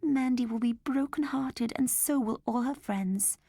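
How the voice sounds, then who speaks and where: A woman voicing a fussy middle-aged mother who chides her daughter briskly. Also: on the high side, warm tone, slightly rough, dry recording, studio quality, voice pushed up. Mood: worried. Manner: brisk, chiding.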